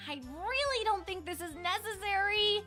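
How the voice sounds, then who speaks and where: A woman's voice: extremely high pitch, lively intonation, moderate pace, sharp tone, soft background music, full character voice. A woman voicing a stubborn, clever young female pony in a cartoon fan fiction, insistent, indignant and sly. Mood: insistent, pleading.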